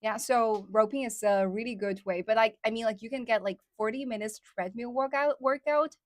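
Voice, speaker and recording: A woman's voice: a little high, normal speed, dry recording. A woman whose first language is Chinese, speaking English with an American Midwest accent as a livestream host, chatty and full of fillers like "like" and "somehow". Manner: chatty, many fillers like "like" and "somehow", laughs often. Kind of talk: casual livestream chat with viewers.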